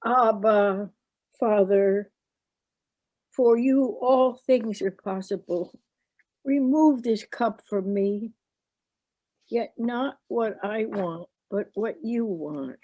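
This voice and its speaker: A woman reading the part of Jesus as a grave, sorrowful teacher in a solemn, deliberate scripture reading. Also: mid-range voice, darkish tone, no echo, poor audio. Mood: grieved.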